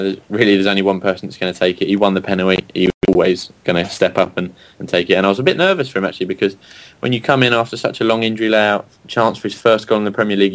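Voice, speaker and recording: A man hosting a casual football fan radio show, chatty and laughing often. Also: low voice. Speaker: host.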